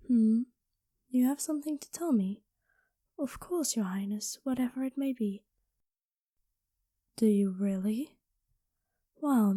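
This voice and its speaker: A woman voicing a gentle, lovestruck dragon queen in an ASMR roleplay, speaking softly and intimately with formal courtly phrasing, often slipping into whispers, close on the microphone. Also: on the high side, no echo. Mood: wistful, resigned, then relieved, delighted. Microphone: close microphone, dry sound.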